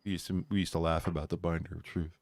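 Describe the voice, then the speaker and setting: Low-pitched male co-host, rambling chat, dry close mic. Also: level tone, fast talker, gravelly, a little mellow, no echo. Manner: rambling, laughs at own jokes. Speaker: podcast co-host. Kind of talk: casual chat.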